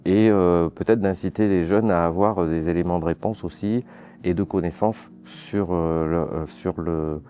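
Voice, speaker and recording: Deep-voiced man, halting and searching. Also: fairly even intonation, mellow. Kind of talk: documentary interview.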